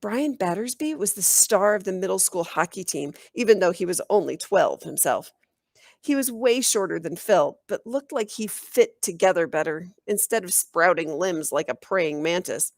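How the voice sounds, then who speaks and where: Expressive woman reading a children's story, lively pitch. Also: normal speed, no room sound, clean studio sound. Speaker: teacher reading a book aloud. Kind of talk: children's audiobook narration.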